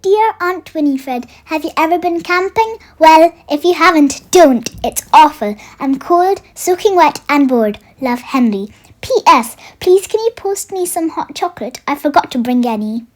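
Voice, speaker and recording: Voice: high-pitched, expressive, normal speed. A storybook reader voicing a timid, glum male friend, a reluctant camper with a plaintive, blunt way of talking. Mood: miserable, grumbling. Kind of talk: children's storybook read-aloud. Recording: music under the voice.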